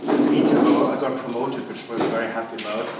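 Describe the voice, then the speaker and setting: A man's voice: mid-range voice, fairly even intonation, fast talker. A middle-aged man with a German accent gives a chatty conference lecture, full of fillers and restarts. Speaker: university lecturer. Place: large conference hall. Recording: echoey hall, voice from far off, background noise.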